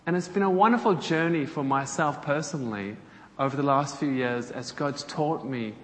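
A man's voice: on the high side, moderate pace, slight background noise. South African man in his thirties, friendly guest preacher, roomy church sound. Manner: chatty, engages the audience with questions. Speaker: guest speaker from a charity. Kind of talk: church talk to a congregation. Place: church hall. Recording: echoey hall, faint ambience.

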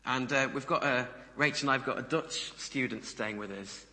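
Male preacher, very bright, crisp-edged tone, conversational sermon delivery, long hall reverb. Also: medium pitch, moderate pace. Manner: conversational, rhetorical questions to listeners. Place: large reverberant room before a congregation.

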